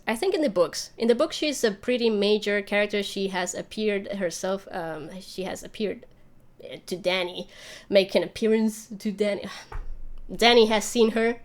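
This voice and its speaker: A woman hosting a livestream, chatting casually and rambling as she thinks aloud while answering viewer questions. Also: medium pitch. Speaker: YouTube channel host.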